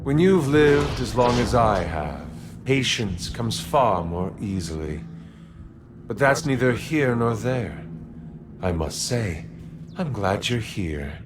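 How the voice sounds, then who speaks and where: An actor playing an ancient, gloating evil emperor spirit in a video game, languid and theatrical, savouring every word. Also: male voice, on the low side, big pitch swings, slow, over music. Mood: smug menace. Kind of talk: video game villain acting.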